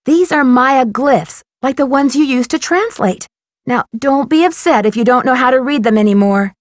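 A woman playing a friendly, earnest, inquisitive young female detective in an adventure video game, coaxing and questioning with clear diction. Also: sits high, moderate pace, dry recording, studio quality, lightly acted. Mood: patient, encouraging. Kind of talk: scripted adventure game dialogue.

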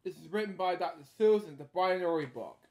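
A man in his thirties hosting a bedtime story series, reading a children's book aloud in short halting lines with casual, repetitive asides. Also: high-pitched, lively intonation, darkish tone. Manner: halting, repeating stock phrases between short read lines. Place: small indoor room at home.

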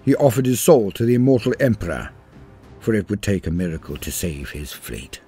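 A man reading a scripted war story as a dramatic narrator, grave and theatrical, in measured phrases. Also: lowish voice, sing-song, dark tone, slightly rough. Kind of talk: dramatic scripted lore read-through. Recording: voice-over with music behind. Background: music.

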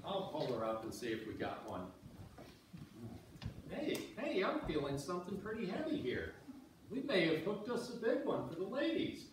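Man playing an excitable, swooping-voiced fisherman. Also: high voice, moderate pace. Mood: excited. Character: gruffly teasing, excitable male ice fisherman. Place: church sanctuary, live stage reading. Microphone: distant audience camera. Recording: noisy echoey church hall from far off.

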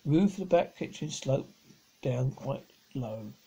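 A middle-aged man reads a children's story aloud, stumbling over words and rereading them, in a husky, gravelly voice. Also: medium pitch, lively intonation, a little slow, mellow, rough recording. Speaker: amateur audiobook reader. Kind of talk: reading a children's novel aloud. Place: living room at home, on a sofa.

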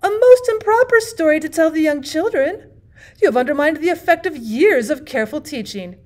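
A woman's voice: high-pitched, lively intonation, a little fast, a little mellow, pitched above the performer's own voice. A woman voicing a prim, disapproving aunt in a storybook, indignant and scolding.

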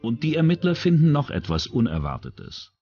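A man reading scripted true-crime documentary narration in a measured, dramatic way. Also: on the high side, huge pitch swings, dark tone, dull audio, a little hiss or hum.

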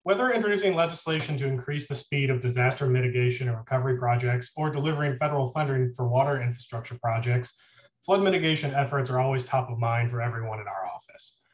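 A man reads a prepared policy update in a steady, formal tone. His voice carries the echo of a bare room. The audio sounds somewhat dull. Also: medium pitch, fast talker. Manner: even, formal delivery. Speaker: deputy chief of staff giving an update. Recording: echoey room, muffled audio.

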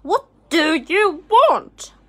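Woman playing a loud, rude, pompous rich man. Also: extremely high pitch, very animated, extremely slow, pitched above the performer's own voice, heavily acted. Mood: rude demand. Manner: curt, bellowing, snappish. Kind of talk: children's storybook character voice.

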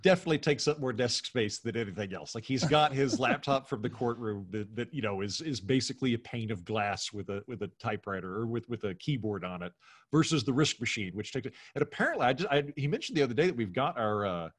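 A man's voice: mid-range voice, fast, husky. A middle-aged man chatting casually as a podcast guest, telling comic anecdotes and acting out the exchanges in them. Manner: animated storytelling, acting out quoted exchanges. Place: home bedroom, indoors. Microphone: video call.

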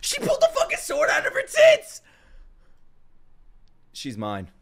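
A young adult American man giving excitable, slangy, crude live reactions as a streamer, casually chatting and exclaiming. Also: very high-pitched, measured pace. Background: music.

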